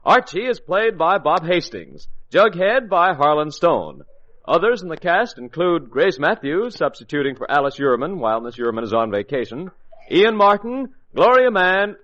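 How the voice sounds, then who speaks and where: High-pitched vintage radio announcer man. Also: a bit bright. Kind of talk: scripted old-time radio credits announcement. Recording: muffled vintage radio broadcast.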